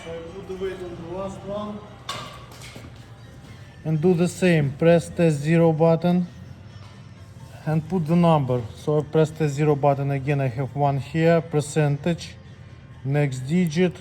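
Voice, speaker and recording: Higher-voiced man giving step-by-step instructions, mellow tone. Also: steady tone, a little slow, pauses often, faint music behind. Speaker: scale technician demonstrating calibration. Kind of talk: hands-on tutorial, unscripted step-by-step instructions.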